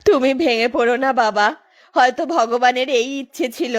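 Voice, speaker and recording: A woman's voice: fairly high pitch, normal speed, lightly acted. A woman voicing a scheming, cajoling village mother who feigns sorrow in a cartoon story. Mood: coaxing. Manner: stammering, cajoling, lamenting. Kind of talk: Bengali cartoon story voice acting.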